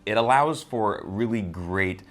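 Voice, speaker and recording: A man co-hosting a casual movie discussion, talking in a halting, rambling way and often repeating words. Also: fairly low pitch, animated. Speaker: entertainment show co-host reviewing a film.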